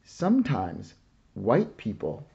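A man voicing a calm, proud mother in a read-aloud picture book, speaking gently and reassuringly. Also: on the low side, takes it slowly, creaky, husky, muffled recording, full character voice.

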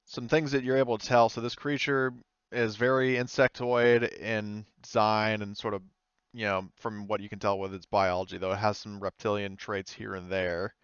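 Deeper-voiced man running a tabletop game. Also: flat delivery, moderate pace, a bit bright, clear, resonant tone, no room sound, highs cut off. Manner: hesitant, rambling. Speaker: game master. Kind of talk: improvised narration and table talk in a tabletop roleplaying game.

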